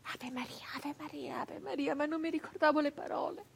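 An actress playing a grieving, traumatized 19th-century peasant woman in a theatrical radio monologue, halting and intense, with breathy speech that can sink toward a whisper. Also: high voice, steady tone. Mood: horrified, faltering. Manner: halting, intense, repeating phrases obsessively.

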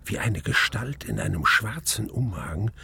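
Brooding, slightly gravelly male narrator. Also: lowish voice, lively intonation, sharp tone, full character voice. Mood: tense, hushed. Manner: measured, suspenseful storytelling. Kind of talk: first-person radio play narration.

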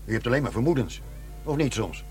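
A man's voice: medium pitch, animated, quick pace, mellow, lightly acted. Man doing a smirky detective, voice goes up and down a lot, faint music. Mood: wry. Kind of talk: 1990s radio drama acting.

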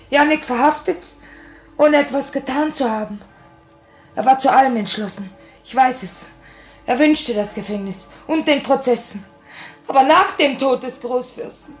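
An actress playing an anxious, dutiful revolutionary woman in a 1950 radio drama, speaking in earnest, measured stage German. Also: sits high, faint music behind. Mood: anguished. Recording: old 1950 radio recording.

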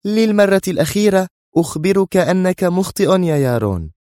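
A fierce female spy villain, acted out by a man in an audiobook thriller. The voice is pushed high and hard, stern and emphatic. It sounds domineering and quick to snap, in a close, dry recording. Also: sing-song, mellow, no echo. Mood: angry, defiant.

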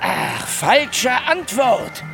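A gravelly old private eye, sardonic and menacing, with a voice that swings widely. He is a hard-drinking wisecracker from a noir radio play, with music behind him. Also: high voice, sing-song, very slow, exaggerated voice. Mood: menacing, mocking. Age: older. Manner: sardonic, taunting, wordy wisecracks. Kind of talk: hard-boiled German radio drama acting.

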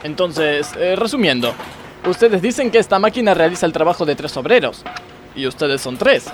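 An actor playing a pompous, stern blind factory boss in a comedic radio play, with an Argentine accent. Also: male voice, fairly high pitch, lively intonation, normal speed, soft background music, lightly acted. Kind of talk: comedic radio theatre acting.

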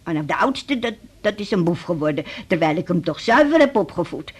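Casting: cantankerous, muddled woman in her eighties, rough husky voice, cheeky rambling delivery, radio play. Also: fairly low pitch, very animated, brisk. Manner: contrary, rambling, blunt. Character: stubborn, forgetful 83-year-old mother, cheeky and rambling. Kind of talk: 1980s Dutch radio drama acting.

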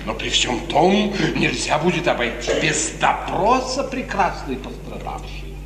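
Insinuating courtier in an echoing hall. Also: male voice, fairly high pitch, sing-song, measured pace. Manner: theatrical, formal, insinuating. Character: scheming smooth male courtier, falsely solicitous and insinuating. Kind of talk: 1950s radio theatre acting. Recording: noisy 1950s radio recording, echoing hall.

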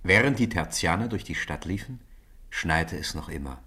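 A man's voice: sits low, animated, moderate pace, pushed and bright, full character voice. A man playing the storybook narrator of schoolboy adventures in a 1950s German radio play. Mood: storytelling.

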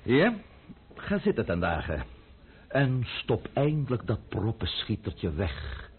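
An actor playing an imperious, scheming, middle-aged French count in a radio play, husky-voiced, haughty and lecturing. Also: male voice, on the low side, sing-song, slow pace, a little hiss or hum. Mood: commanding, wry. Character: imperious, scheming French count and royalist intriguer, impatient, sardonic. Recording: muffled, narrow-band old radio recording.

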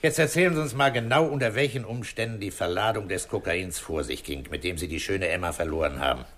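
An actor playing a calm, authoritative police inspector in a 1960s German radio crime drama, measured and commanding as he probes. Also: sits low. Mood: firm questioning.

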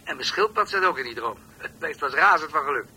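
A man's voice: fairly high pitch, lively intonation, quick pace, pushed and bright, a little hiss or hum, exaggerated voice, low-quality recording. An actor playing a dreamy, eccentric old senior police officer in a radio play, musing as he talks. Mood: musing, wondering. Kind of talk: Dutch radio play acting.

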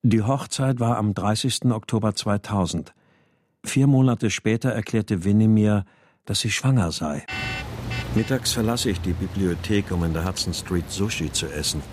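An actor playing a melancholy husband and writer who narrates a radio crime drama in a restrained, introspective way. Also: male voice, fairly low pitch, normal speed, darkish tone, close to an everyday voice. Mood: sad, haunted. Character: melancholy husband and writer narrating his missing daughter's story.

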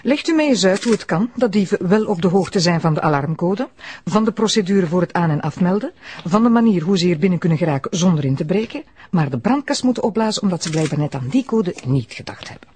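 An actress playing an assertive, formal deputy prosecutor in a radio drama. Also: female voice, lowish voice, expressive, quick pace, a little mellow, slight background noise, close to an everyday voice. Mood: firm. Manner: formal, firm, questioning.

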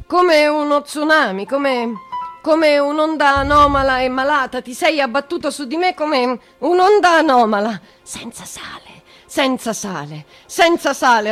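An anguished, trapped woman speaks in a highish, very animated voice with a Roman accent. Her radio-drama monologue is intense and fevered, broken into short repeated phrases that swing between despair and outburst. Faint music plays behind her. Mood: rising despair. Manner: fragmented, repetitive, intense delivery. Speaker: actress.

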